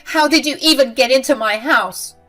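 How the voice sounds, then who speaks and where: A woman voicing an angry, self-pitying mother-in-law who talks in a shrill, accusing way. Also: moderate pace, a bit bright, faint music behind, lightly acted. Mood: outraged.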